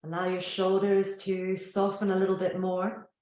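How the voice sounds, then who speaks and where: An echoey room carries the voice of a woman leading a guided relaxation. She speaks at a middle pitch in a gentle, soothing manner. Her phrases are unhurried and set apart by long pauses. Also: monotone. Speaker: yoga nidra teacher.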